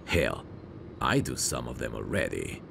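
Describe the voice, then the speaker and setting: Man playing very deep, gravelly suave mafia heir. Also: very animated, measured pace, background noise, heavily acted. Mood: candid, confiding. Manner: smooth-talking, courtly, flirtatious. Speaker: voice actor. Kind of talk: ASMR roleplay, intimate one-sided dialogue.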